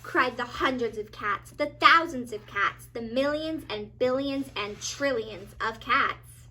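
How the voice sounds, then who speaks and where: A woman narrating a children's storybook as a teacher, with expressive, dramatic emphasis. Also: fairly high pitch, takes it slowly.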